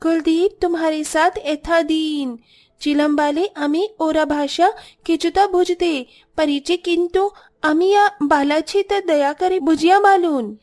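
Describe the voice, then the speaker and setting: A woman's voice: very high voice, fairly even intonation, slow pace, darkish tone, voice pushed up. A woman voicing a sweet, polite young Bengali bride with a Bengali accent in a cartoon story. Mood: placating.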